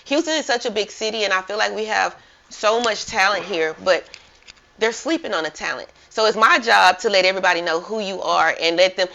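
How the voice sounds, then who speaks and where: A woman's voice: medium pitch, sharp tone. A woman hosting a casual interview, upbeat and encouraging, often saying "like". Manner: upbeat, encouraging, says like a lot. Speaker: interview host. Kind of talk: casual chat. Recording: muffled lo-fi audio.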